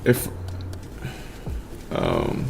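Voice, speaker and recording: A man casually chatting on a livestream while he paints, relaxed and slurring his words together, trailing off mid-sentence. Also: drawn-out words, noisy background. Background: faint lo-fi background music.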